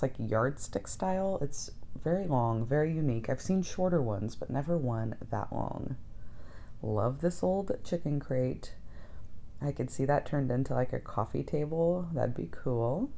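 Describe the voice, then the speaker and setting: A woman's voice: rumbling low, slight background noise. A woman narrating a casual shopping vlog as its host, in a chatty, folksy way. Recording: soft background music. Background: music.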